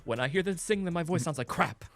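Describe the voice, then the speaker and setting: A man hosting a music reaction show, talking in a chatty, excitable way with many fillers and restarts. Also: a little high, quick pace. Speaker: music producer and show host. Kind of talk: casual reaction chat.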